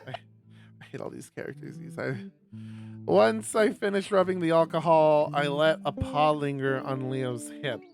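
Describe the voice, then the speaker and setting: A man livestreaming and reading a visual novel aloud, sweary and animated, with exasperated asides and guitar music behind him. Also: a little high, normal speed, gravelly. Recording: loud music under the voice. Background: plucked guitar game music.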